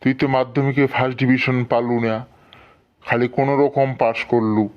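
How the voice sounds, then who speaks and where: A man's voice: medium pitch, fairly even intonation, brisk, smooth, highs cut off, close to an everyday voice. A man voicing a pleading, exasperated village father in a comedy cartoon, with a North Bengal accent. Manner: coaxing, exasperated.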